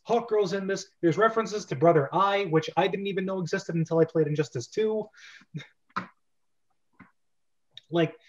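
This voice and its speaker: A man hosting a casual livestreamed group chat about scripts, talking in an informal, rambling way. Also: a little high. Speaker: host of an online script reading club. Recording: dull, lo-fi online stream audio.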